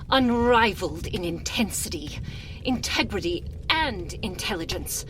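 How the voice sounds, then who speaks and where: A woman acting as an imperious vampire countess speaks extremely slowly in a theatrical, seductive ASMR monologue. Her medium-pitched voice is close and languid, sinking into breathy near-whispers with proud, wounded hauteur. A faint ambient noise sits behind her. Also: fairly bright tone, rough recording. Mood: indignant, proud. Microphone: close binaural microphone.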